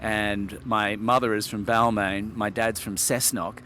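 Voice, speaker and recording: A man's voice: lowish voice, fairly even intonation, measured pace, sharp tone, a little hiss or hum. A middle-aged man from Sydney, Australia, a wine expert guest, speaking in articulate, wordy sentences with hesitant fillers. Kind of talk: relaxed interview talk.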